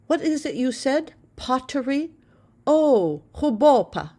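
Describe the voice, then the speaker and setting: A woman's voice: high-pitched, big pitch swings, slow, dark tone, pitched above the performer's own voice, full character voice. A woman voicing an eager, chatty teenage girl in a spoken memoir. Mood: excited.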